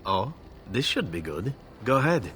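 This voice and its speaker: A roguish male elf assassin from a fantasy game, proud and flamboyant. His silky delivery swoops with a lively range over a middling-low male pitch. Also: normal speed, noisy background. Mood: amused, smug. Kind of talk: fantasy video game dialogue.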